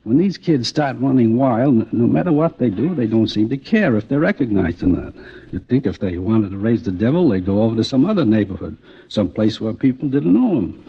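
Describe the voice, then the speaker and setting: An actor playing an aggrieved, suspicious American theater manager with a hesitant, confiding, folksy manner in a radio drama. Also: male voice, mid-range voice, lively intonation, brisk, creaky, husky, heavily acted. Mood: puzzled. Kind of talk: naturalistic 1950s radio drama acting. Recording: dull, faintly noisy old radio recording.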